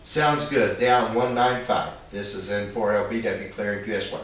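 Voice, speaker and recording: A man's voice: lowish voice, steady tone, normal speed, a bit bright. Guy on ham radio, kinda hesitant and apologetic, really echoey n a bit far off. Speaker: amateur radio operator. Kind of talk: casual two-way radio chat. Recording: echoey, distant, noisy internet radio link.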